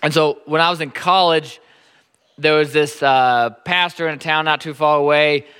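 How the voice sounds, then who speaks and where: A pastor in his thirties with a Nebraskan accent preaches in a relaxed, stop-and-start way, often doubling his words. His voice is forceful and smooth, sitting a little high for a man. The sound hangs in a church hall with a long reverb. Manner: halting, repeats words often. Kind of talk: sermon.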